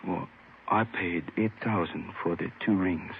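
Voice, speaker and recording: A man's voice: low-pitched, measured pace, vocal fry. A man acting an injured, courteous jade dealer in a 1950s radio drama, speaking formally and carefully with some hesitation. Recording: tinny, faintly noisy old radio recording.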